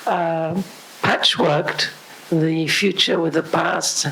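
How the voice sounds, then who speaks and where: A woman in the audience asking a question, her voice going hoarse, pausing often and clearing her throat. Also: sits low, steady tone, a bit bright, a little hiss or hum, poor audio.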